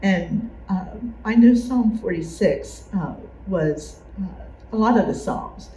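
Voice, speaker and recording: A woman's voice: mid-range voice, expressive, slow, mellow. A woman casually sharing a personal memory, hesitant and searching for her words. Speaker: church member recalling past challenges. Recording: echoey room with faint noise.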